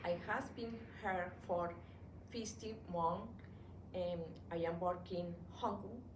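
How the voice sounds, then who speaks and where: Venezuelan woman, spanish accent, lowish voice, halting english, distant echoey recording, ambient noise. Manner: careful, halting learner's English. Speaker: adult education student. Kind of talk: short recorded video introduction.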